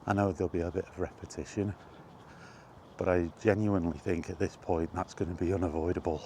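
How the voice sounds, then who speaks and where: A middle-aged man giving a casual, rambling chat as he walks outdoors, in an unhurried, offhand manner. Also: low voice, fairly even intonation, a little mellow. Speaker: video game vlogger. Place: outdoors, walking toward a park. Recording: outdoor walking recording with background noise.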